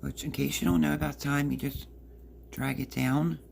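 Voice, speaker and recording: A woman with a hoarse voice casually explaining a recipe step by step in her home kitchen. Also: deep voice, steady tone, normal speed, darkish tone, slight background noise. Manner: chatty, explains step by step while working. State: hoarse, partly lost voice. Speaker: home cook. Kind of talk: casual cooking demonstration.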